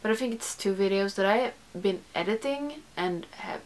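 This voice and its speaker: A woman's voice: medium pitch, lively intonation, moderate pace. A young woman, a non-native English speaker, chatting casually to camera in a small room, rambling and correcting herself with lots of "like". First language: not English. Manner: rambling, self-correcting, frequent "like". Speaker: beauty YouTuber. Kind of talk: casual chat to camera, answering a tag. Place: small room with makeup shelves, indoors.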